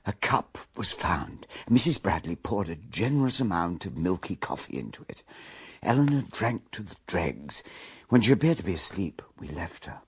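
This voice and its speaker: Creaky voiced english gent in a 20s radio mystery, flustered, swoops up and down, bit of hiss. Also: male voice, deep voice, sing-song, normal speed, no room sound, heavily acted. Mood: grave narrating. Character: flustered English country-house guest who also narrates. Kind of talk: 1920s murder mystery radio drama acting.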